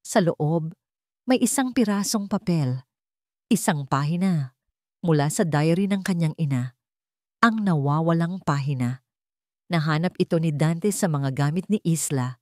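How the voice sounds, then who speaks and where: A woman narrating a scripted dramatic story in a measured, storytelling manner. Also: low voice, dark tone, no room sound, studio quality. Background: background music.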